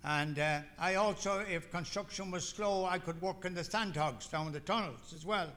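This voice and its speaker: A man's voice: sits high, normal speed, a bit bright. An older man from Ireland with an Irish accent, telling his own recovery story to a meeting in a folksy, rambling way. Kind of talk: personal testimony talk.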